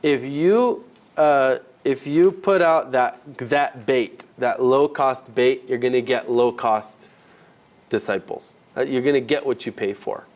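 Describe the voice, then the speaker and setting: A man leading an informal teaching session for a group, talking in a conversational, halting way and rephrasing as he goes. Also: medium pitch, a little mellow. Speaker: ministry trainer teaching a group. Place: live session with a group present.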